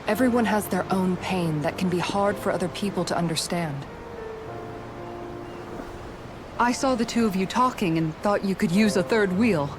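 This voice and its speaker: An actress playing a kind, steady warrior woman comforting friends in a video game cutscene. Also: medium pitch, fairly quick, background noise, natural voice. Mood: friendly. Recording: music behind the voice.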